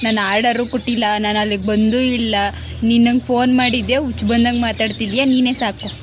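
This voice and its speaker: A woman's voice: fairly high pitch, flat delivery, fast. A woman with a rural southern Karnataka Kannada accent on a casual phone call, speaking bluntly in an exasperated, scolding way. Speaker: prank call recipient. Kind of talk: casual colloquial phone talk. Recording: phone call with faint noise.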